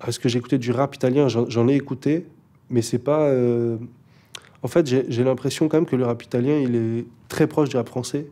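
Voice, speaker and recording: Mellow laid-back young man. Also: medium pitch, fairly even intonation, a little fast. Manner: laid-back, hesitant, many fillers and restarts. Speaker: rapper guest. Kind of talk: casual interview chat.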